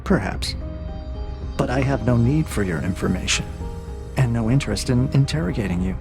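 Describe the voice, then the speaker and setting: An actor playing a cold, calculating interrogator AI in an audio drama, with a measured, probing and quietly menacing manner. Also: male voice, mid-range voice, lively intonation, creaky, over music. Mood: detached, menacing. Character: cold, calculating male interrogator AI breaking a prisoner. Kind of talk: scripted audio drama acting.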